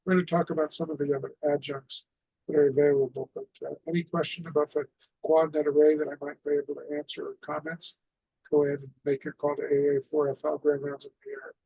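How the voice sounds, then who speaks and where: A man giving an informal instructional presentation as a radio net host, explaining in a halting way with repeated phrases. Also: a little high, little pitch movement, gravelly, warm tone, slightly rough, no echo, poor audio.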